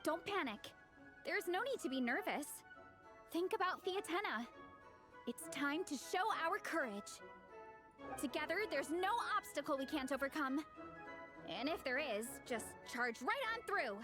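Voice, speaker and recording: A woman's voice: high voice, animated, unhurried, music in the background. An actress playing an earnest, plucky young female racer in an anime-style video game. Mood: earnest, rallying. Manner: earnest, spirited.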